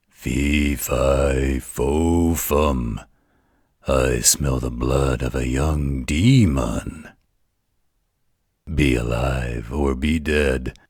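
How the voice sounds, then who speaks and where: Man acting a very deep, menacing giant. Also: darkish tone, slightly rough, no echo, clean studio sound, full character voice. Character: hungry, menacing male giant, the ogre's husband. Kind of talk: storybook character voice.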